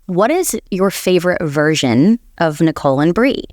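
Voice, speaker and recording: A woman's voice: fairly low pitch, very animated, normal speed, darkish tone. Gushing upbeat woman host. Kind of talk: casual interview chat. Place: tasting room at a winery.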